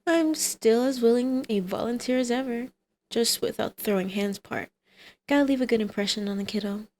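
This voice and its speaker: A woman's voice: fairly high pitch, normal speed, a little mellow, natural voice. A woman playing a brazen, scheming supervillain mother in an ASMR roleplay, teasing, smug and coaxing.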